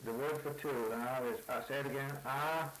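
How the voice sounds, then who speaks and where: An older man with a bright, forceful voice works through vocabulary with a language speaker. He repeats each word back slowly, checking the sound, and asks simple questions about how to say things. A faint hiss sits under the old recording. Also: mid-range voice, steady tone, poor audio. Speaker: interviewer learning native words. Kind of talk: word elicitation session.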